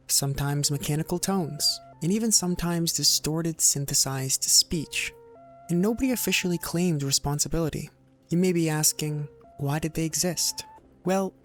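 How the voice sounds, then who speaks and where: A man with a slightly high voice delivers scripted documentary narration. He explains in a measured way, setting off short phrases for dramatic weight. Music plays softly behind him. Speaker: video narrator. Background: background music.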